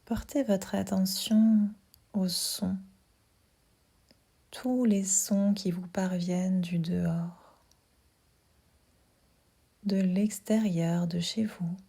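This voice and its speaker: A woman leads a guided relaxation for sleep in a mellow, hushed voice that often falls to a near whisper. She speaks very slowly, giving gentle instructions with space between them. Her tone is breathy and soothing throughout. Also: medium pitch. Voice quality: soft, breathy, half-whispered. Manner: calm, soothing, unhurried instructions with pauses. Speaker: yoga nidra guide.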